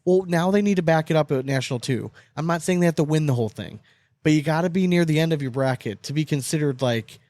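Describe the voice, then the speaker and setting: A man's voice: a little high, fast talker, dry recording. A man co-hosting a podcast, chatting casually about sports with strong opinions and frequent swearing. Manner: animated, opinionated, swears often. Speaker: podcast co-host.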